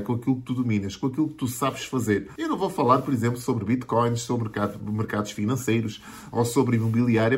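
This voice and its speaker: An earnest man in his forties with a European Portuguese accent talks straight to his viewers as a marketing mentor. His voice sits at an ordinary middle pitch, and he stacks his points in steady repeated lists. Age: 40s. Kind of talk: motivational talk to camera.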